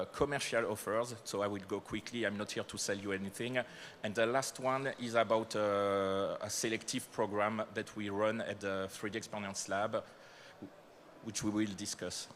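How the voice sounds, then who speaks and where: A man's voice: fairly low pitch, moderate pace, a bit bright, a little hiss or hum. French-accented man presenting at a conference. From France. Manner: hesitant, many fillers and self-corrections. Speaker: corporate speaker presenting startup programs. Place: conference hall.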